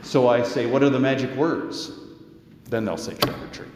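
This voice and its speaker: A man's voice: medium pitch, normal speed, heavy background noise. Male pastor preaching in an echoey church. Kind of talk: conversational sermon. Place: reverberant church during a service. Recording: echoey church with long reverb.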